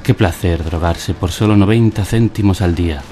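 Man acting as low-voiced satirical radio narrator from Spain. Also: normal speed, mellow, close to an everyday voice. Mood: ironic, intense. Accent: Spanish from Spain. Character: deadpan male narrator of a surreal media satire. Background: music behind the voice.

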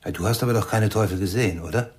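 A man's voice: lowish voice, very fast, lightly acted. An actor playing a teasing, impatient husband and father in a German radio play. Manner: teasing, needling.

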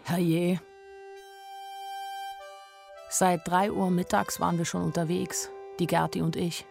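A woman's voice: lowish voice, flat delivery, slow pace, vocal fry, darkish tone, faint music behind. An actress playing a candid, naive nineteen-year-old woman who tells her own story plainly in a radio drama. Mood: matter-of-fact. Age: young adult. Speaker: actress narrating as the main character. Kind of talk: radio drama first-person narration.

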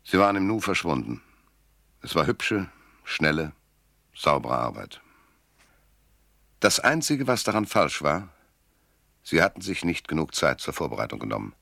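A hard-boiled, cynical private eye in a noir radio drama. His voice sits extremely low and moves freely in pitch, close and dry in the studio. He speaks in a terse, weary manner. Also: big pitch swings, moderate pace, creaky, long pauses, pushed and bright, no echo, full character voice. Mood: cool, detached. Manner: laconic, dry, world-weary. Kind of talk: first-person noir narration and dialogue in radio drama.

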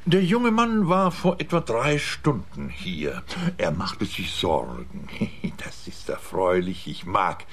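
An actor playing a kindly, self-important old psychologist and quack healer in a comic radio play, speaking haltingly. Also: male voice, low-pitched, sing-song, heavily acted. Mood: smug serenity. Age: older.

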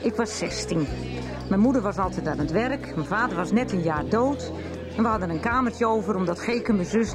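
Animated, gossipy estranged wife played by a woman. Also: medium pitch, close to an everyday voice. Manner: animated storytelling, droll. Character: vivid, gossipy, estranged wife confiding in a friend. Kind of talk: Dutch radio soap acting. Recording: music and chatter behind the voice.

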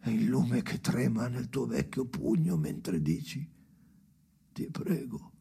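A man playing a haunted old narrator in a bleak radio drama, speaking in halting, hushed fragments with a gravelly voice. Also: mid-range voice, lively intonation, heavily acted. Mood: bleak, whispery. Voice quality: gravelly, creaky. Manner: slow, fragmented, halting delivery with hushed whispery phrases. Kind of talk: dramatic monologue in a stage-style radio play.

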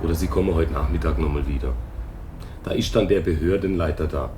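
A man playing an unhurried small-town policeman in a German radio drama, colloquial, placating and dismissive. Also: a bass voice, noisy background. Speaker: actor in a radio play.

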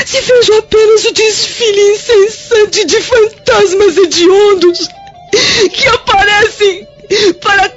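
An actress with a Brazilian accent playing a delirious, guilt-tormented old Roman noblewoman, wailing and halting in melodramatic radio drama style. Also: extremely high pitch. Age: older. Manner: wailing, halting, repeating words in anguish. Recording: dull lo-fi radio drama under loud music. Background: dramatic music.

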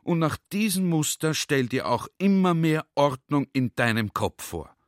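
A man's voice: a little high, lively intonation, measured pace, dry recording. A garrulous army general, holds forth to a comrade in a radio play. He is earnest and conversational, full of rhetorical questions, in a very dry, close recording. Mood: insistent, lecturing. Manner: conversational, rhetorical, checks listener is following.

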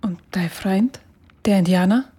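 An actress playing a curious woman who gently questions a returned soldier in a radio play, with a breathy voice. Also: mid-range voice, unhurried. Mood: curious, concerned. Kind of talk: German radio play dialogue.

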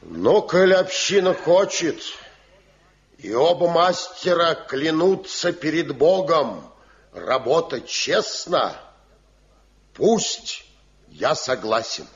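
Pious stern elder, slow declamatory speech, widely swinging pitch, old radio play. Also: male voice, big pitch swings, full character voice. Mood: solemn. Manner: declamatory, moralizing. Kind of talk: Soviet radio play acting. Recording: dull, muffled 1970 radio play recording.

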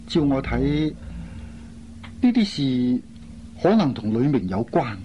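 A man's voice: medium pitch, sing-song, takes it slowly. An actor playing an earnest, concerned airline crewman in a radio drama. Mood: grave, cautious. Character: earnest, concerned man, a flight crew colleague. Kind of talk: Cantonese radio drama acting. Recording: dull lo-fi recording, faint noise.

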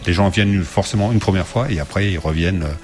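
A man with a fairly low voice hosts a radio show from the studio. He speaks in a relaxed, casual way with frequent hesitations and fillers. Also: fast, soft background music.

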